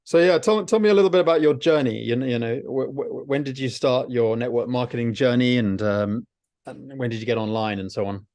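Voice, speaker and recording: A man's voice: medium pitch, fairly quick, a little mellow, no echo. A middle-aged man hosting a casual video call chat from home, talking with many fillers and restarts. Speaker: host. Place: home, at night.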